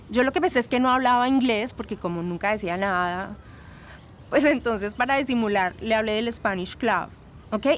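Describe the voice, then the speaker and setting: A chatty, soft-hearted woman with a Latin American accent, played by an actress gives testimony in an audio drama. Her voice is fairly high, gossipy and expressive, with faint ambient noise behind. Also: lightly acted.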